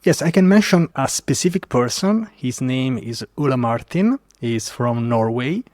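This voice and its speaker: A man from Italy with an Italian accent, telling a story as a podcast guest in an animated way with many fillers. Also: medium pitch, a little slow, no room sound, studio quality. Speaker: guest developer advocate. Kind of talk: casual podcast interview.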